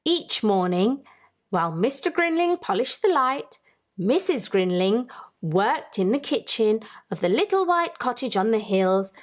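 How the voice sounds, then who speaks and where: A woman hosting a children's story time, reading aloud in a deliberate, teacherly way and putting questions to young listeners. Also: fairly high pitch.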